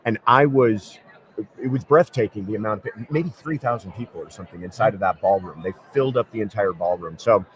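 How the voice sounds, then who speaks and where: A man who is a lawyer and podcast host, chatting casually as a guest at a convention booth and telling lively stories. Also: on the low side, brisk, low-quality recording. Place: busy convention floor.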